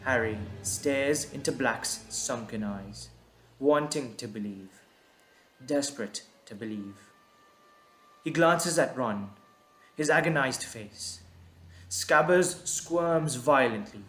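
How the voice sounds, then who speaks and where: Slow-paced male story narrator, roomy sound. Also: medium pitch, a bit bright. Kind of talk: scripted dramatic narration. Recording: roomy echo, background music.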